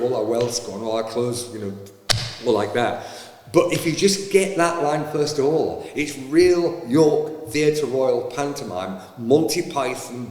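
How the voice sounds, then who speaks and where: A man telling a lively backstage story and acting out the voices. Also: mid-range voice. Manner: animated, acts out voices in his stories. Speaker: panto actor. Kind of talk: anecdotal storytelling. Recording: roomy, echoey with long reverb.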